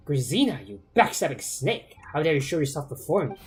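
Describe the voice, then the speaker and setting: A man voicing a grandiose, vengeful spirit that guards a ruined bunker, reading its lines in a slow, theatrical, declamatory way. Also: a little high, sing-song, a little mellow, a little hiss or hum. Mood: furious.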